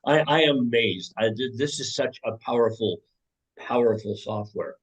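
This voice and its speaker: A man's voice: medium pitch, normal speed, breathy edge, distant mic, dull audio. An older man speaking hesitantly as he searches for words, a participant asking a question on a group call.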